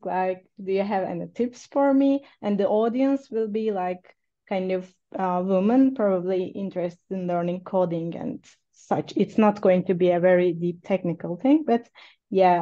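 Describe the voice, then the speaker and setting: A woman's voice: mid-range voice, normal speed, dark tone. A woman attending a webinar, asking a question hesitantly with fillers over a video call. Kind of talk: casual question. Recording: dull lo-fi call audio.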